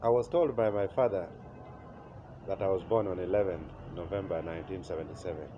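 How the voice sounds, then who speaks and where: A man in his forties from Uganda with a Ugandan accent, telling his life story as an interview guest, pausing often with "uh" and chuckling at memories. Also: low voice. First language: Acholi. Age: 40s. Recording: slightly muffled with faint noise.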